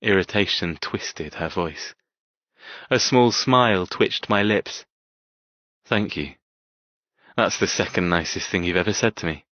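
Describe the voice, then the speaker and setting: Audiobook lead: man playing a brooding, tormented cold man telling his story, deep, terse, closely dry recorded. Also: measured pace, long pauses, fairly bright tone, dry recording, highs cut off. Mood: cold, guarded. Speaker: audiobook narrator. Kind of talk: first-person scripted fiction narration.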